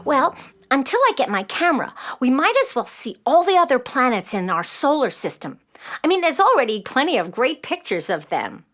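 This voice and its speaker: A precocious nine-year-old girl speaks in a children's audio play. The voice is very high and swings widely in pitch, earnest and articulate, with an eager, excitable temper. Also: normal speed.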